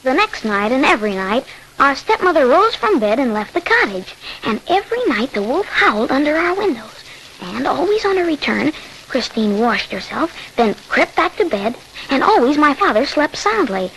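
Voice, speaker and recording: Earnest small boy, high voice, animated storytelling, fearful, old radio drama, faint hiss. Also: normal speed, exaggerated voice. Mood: grave, suspenseful. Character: earnest young boy recounting a fearful childhood. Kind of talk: 1940s radio horror narration and acting. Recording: slightly noisy 1940s radio recording.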